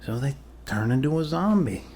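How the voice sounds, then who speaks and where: A man doing an improvised ASMR role-play as a doctor, talking in a halting, deliberate, deadpan way to a patient. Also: mid-range voice, slight background noise. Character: blunt, casually shady male family doctor reassuring a patient. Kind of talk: ASMR medical role-play, improvised personal-attention talk.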